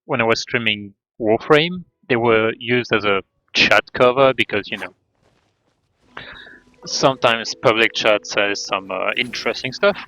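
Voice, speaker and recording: A man's voice: medium pitch, a little slow, bright, forceful tone, no room sound, rough recording. A man talking casually as a game livestreamer.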